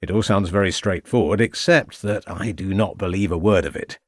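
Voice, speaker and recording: Man as a calm, shrewd police inspector. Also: fairly low pitch, no echo, studio quality. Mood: stern. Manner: measured, pointed questioning.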